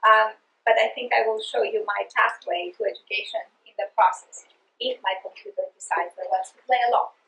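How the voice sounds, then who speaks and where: A woman's voice: medium pitch, fairly even intonation, a little fast, vocal fry, fairly bright tone, reverberant, rough recording. A middle-aged woman from Greece with a Greek accent, earnestly giving a professional development talk to teachers, with frequent restarts. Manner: earnest, passionate, with many restarts. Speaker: literacy presenter.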